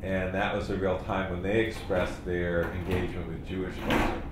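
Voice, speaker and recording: Man, workshop trainer, relaxed explaining, faint background noise. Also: deep voice, moderate pace. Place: meeting room. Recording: very echoey room, faint noise.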